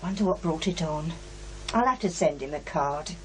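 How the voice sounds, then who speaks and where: A woman's voice: low voice, lively intonation, moderate pace, darkish tone, slight background noise, rough recording. An actress playing a flustered, homely working-class mother of two in an audio horror drama, chatty and easily alarmed.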